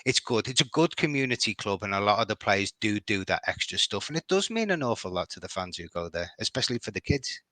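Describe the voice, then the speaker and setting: Scouse man, middle-aged, guesting on a fan podcast; bright tone, stumbling repeats, dry close recording. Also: fairly low pitch, big pitch swings, fast, few pauses, no room sound. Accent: Liverpool. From Liverpool. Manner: hesitant, repeats words, warm praise. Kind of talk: casual podcast chat.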